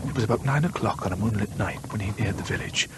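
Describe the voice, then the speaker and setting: An actor playing a grave gentleman storyteller, narrating a radio drama in measured, literary phrases. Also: on the low side, very noisy, natural voice. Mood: grave, pitying. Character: measured gentleman storyteller recounting another man's ghostly fate.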